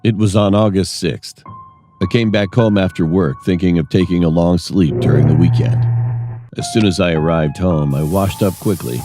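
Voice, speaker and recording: A man narrating a scripted first-person horror story in a measured, dramatic storytelling manner. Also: deep voice, music in the background.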